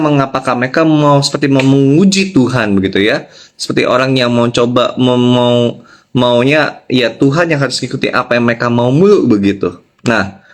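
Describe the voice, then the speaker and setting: Male pastor preaching, emphatic delivery. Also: medium pitch. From Indonesia. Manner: emphatic, repeats key phrases, checks in with listeners. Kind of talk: devotional sermon to an online audience.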